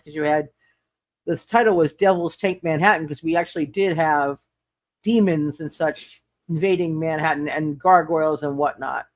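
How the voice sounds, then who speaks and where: Higher-voiced man hosting, halting speech. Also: no room sound. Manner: halting, repeats words and restarts often. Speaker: game master and host of a roleplay livestream. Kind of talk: casual livestream chat and recap.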